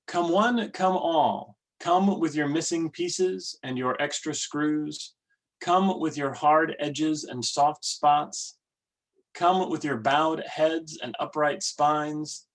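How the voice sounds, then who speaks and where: A man leading worship, giving welcomes and readings in a measured, deliberate way. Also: a little high, steady tone. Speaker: worship leader. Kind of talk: church service welcome and readings.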